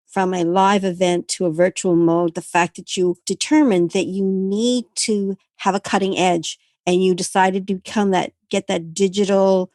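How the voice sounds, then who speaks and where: A woman's voice: mid-range voice, a little mellow, no room sound, studio quality. A woman hosting a conversational interview, prompting and responding to her guest. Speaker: interview host.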